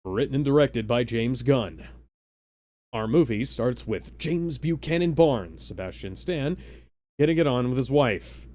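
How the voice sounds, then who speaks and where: Animated man hosting a dramatic reading. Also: medium pitch, measured pace. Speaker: host of a group dramatic reading livestream. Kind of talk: loose live read-aloud with casual asides.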